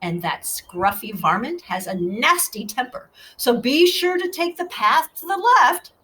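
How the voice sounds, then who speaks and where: Woman as a patient rabbit mother, animated pitch. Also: measured pace, far from the mic, a little hiss or hum, exaggerated voice. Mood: warning. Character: patient, cautioning rabbit parent.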